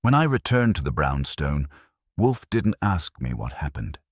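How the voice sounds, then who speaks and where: Very deep, husky male storyteller. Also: big pitch swings, no room sound, studio quality. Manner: measured, dramatic, literary delivery. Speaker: audiobook narrator. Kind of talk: scripted first-person detective story narration.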